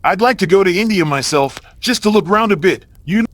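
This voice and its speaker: A man's voice: sits high, animated, fairly quick, fairly bright tone, no room sound. A man acting a testy, curious old father who blusters and grumbles in a dramatised audio story. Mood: excited, jovial. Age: older.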